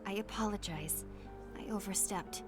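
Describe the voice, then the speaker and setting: A woman playing a polite, calm and caring young warrior in a dramatic game cutscene. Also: mid-range voice, normal speed, music in the background, close to an everyday voice. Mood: measured, apologetic.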